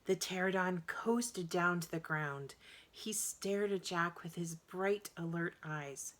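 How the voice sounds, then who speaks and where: Low-voiced woman reading a children's story. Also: slow pace, a bit bright. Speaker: storybook reader. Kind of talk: expressive children's audiobook narration.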